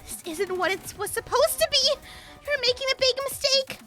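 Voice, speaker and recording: A woman doing a shrill, furious little girl. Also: expressive, fairly quick, music in the background. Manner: screaming threats.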